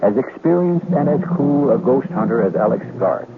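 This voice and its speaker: Old radio mystery host guy, dramatic narration, very expressive, thin tinny sound. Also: mid-range voice, noisy background. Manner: theatrical, deliberate.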